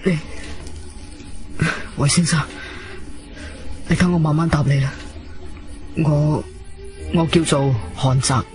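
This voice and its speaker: Nervous young man, radio drama, slightly high pitch, slow, halting, apologetic. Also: fairly even intonation, a little mellow, faint music behind. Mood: hesitant, anxious. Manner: hesitant, halting, pleading. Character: pale, frightened young man in his early twenties, guilty and apologetic. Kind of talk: Cantonese radio drama acting.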